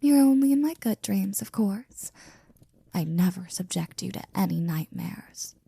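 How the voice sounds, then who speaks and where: Soft, breathy flirty maid, acted by a woman. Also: medium pitch, expressive, measured pace, no room sound, full character voice. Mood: adoring, teasing. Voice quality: soft, breathy, often near whispering. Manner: teasing, dramatic, formal courtly wording. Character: devoted, flirty, jealous maid doting on her master. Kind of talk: ASMR roleplay, intimate one-sided scene.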